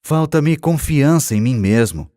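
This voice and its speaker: A man's voice: mid-range voice, animated, a little slow, dark tone, dry recording, studio quality, pitched above the performer's own voice, close to an everyday voice. Man reading as despondent, anxious Brazilian businessman of forty. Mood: dejected, pleading. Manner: lamenting, earnest pleading. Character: despondent, anxious forty-year-old businessman lacking self-confidence. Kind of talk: audiobook character dialogue.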